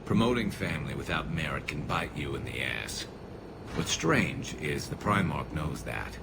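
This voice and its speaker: A man playing a blunt, wry, hardened alien squadmate in a sci-fi game, with a gravelly voice. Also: rumbling low, animated, moderate pace, full character voice. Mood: grim, wry. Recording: heavy engine and ambient noise behind.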